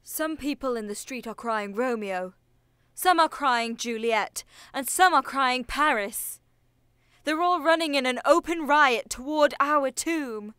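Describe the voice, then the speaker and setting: A woman's voice: sits high, measured pace, a bit bright, clear, resonant tone, dry recording, clean studio sound. A woman playing an alarmed noblewoman in an audio drama. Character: alarmed noblewoman, Lady Capulet. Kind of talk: audio drama acting of modernized Shakespeare.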